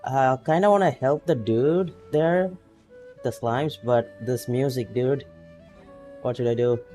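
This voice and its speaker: A man's voice: medium pitch, slow, mellow, faint music behind. Laid-back man chatting over a game stream. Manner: laid-back, chatty, laughs often, greets chat by name. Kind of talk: casual gameplay stream chat.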